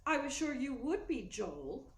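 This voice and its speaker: A scheming young femme fatale acted by a woman from a noir radio play, all demure hesitation and anxious pleading. Her voice is high and very lively in pitch. It is heard in a roomy, echoing space. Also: a little slow. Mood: guarded, haughty. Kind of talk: radio play acting in 1940s noir manner. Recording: roomy, echoey sound with faint noise.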